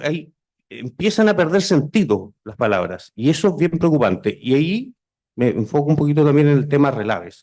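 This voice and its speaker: A man's voice: a little high, darkish tone. A Chilean man speaking as a conference presenter, informal and conversational, self-deprecating and repeating points for emphasis. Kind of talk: informal presentation to a seminar audience.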